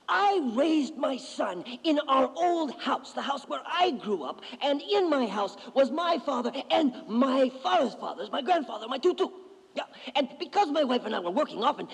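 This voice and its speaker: A man voicing an excitable elderly man with a lilt, chattering eagerly in a high voice. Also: moderate pace, vocal fry, in a large hall, exaggerated voice, poor audio. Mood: proud.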